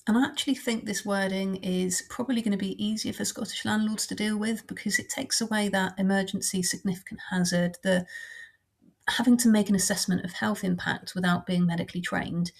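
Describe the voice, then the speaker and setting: A woman with a heavy cold and a croaky voice, explaining housing law in a measured, practical way as a surveyor. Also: medium pitch, steady tone. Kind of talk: informal explainer talk.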